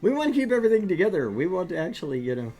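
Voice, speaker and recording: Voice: medium pitch, big pitch swings, racing, warm tone, husky, soft background music. A middle-aged host opening a gaming stream, rambling with fillers and joking at their own expense. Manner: rambling, filler-heavy, self-deprecating. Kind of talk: casual stream host talk.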